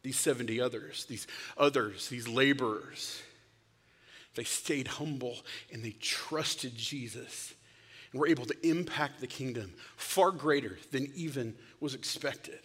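A man preaching a sermon, telling stories with loud bursts and sudden hushed whispers. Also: medium pitch, unhurried, breathy edge. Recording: long hall reverb.

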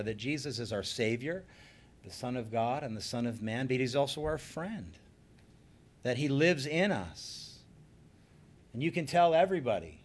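Man, pastor, sermon, earnest, emphatic, medium pitch. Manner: earnest, rising and falling emphasis, slows on key phrases.

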